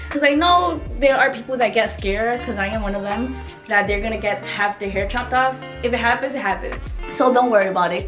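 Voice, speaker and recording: High-pitched young woman, chatty vlogger. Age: 20s. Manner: informal, slangy, self-deprecating. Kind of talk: casual chatty vlog narration. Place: small apartment bedroom, indoors. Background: background music.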